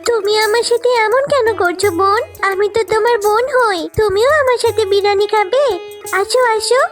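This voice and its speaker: A sweet orphaned little cartoon girl acted out by a performer with an extremely high voice. She sounds plaintive and pleading, over prominent music. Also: fairly even intonation, fairly quick, fairly bright tone. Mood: gently pleading. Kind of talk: children's cartoon voice acting.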